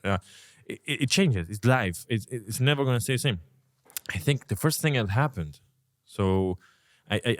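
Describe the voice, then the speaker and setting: Lively-voiced man in a dry room. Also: lowish voice, no echo. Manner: reflective, rambling, swears casually. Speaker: podcast host. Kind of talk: casual podcast conversation.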